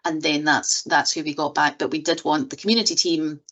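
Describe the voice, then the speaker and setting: A woman's voice: sits low, fairly even intonation, fairly quick, fairly bright tone, lo-fi sound. A woman presenter explaining her research choices in an online seminar.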